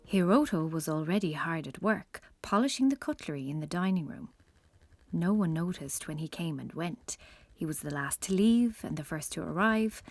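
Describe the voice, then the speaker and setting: A woman's voice: fairly low pitch, a little mellow, dry recording. A woman narrating a children's story as scripted narration. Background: music.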